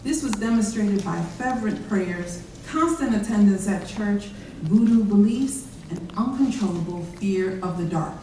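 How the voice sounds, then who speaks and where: A woman's voice: medium pitch, animated, unhurried, mellow. A woman giving scripted readers theater narration on a chapel stage. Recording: very echoey chapel, distant mic, faint noise.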